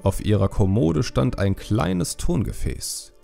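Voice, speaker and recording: Low, creaky male audiobook narrator. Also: very animated, slow pace, mellow, faint music behind. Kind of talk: scripted fantasy story narration.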